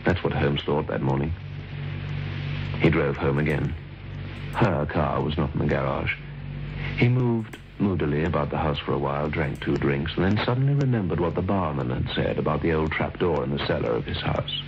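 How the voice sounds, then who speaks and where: Very deep male voice, ominous radio suspense narrator, dramatic, dull vintage sound. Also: expressive, normal speed, creaky, exaggerated voice. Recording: dull old radio recording with music.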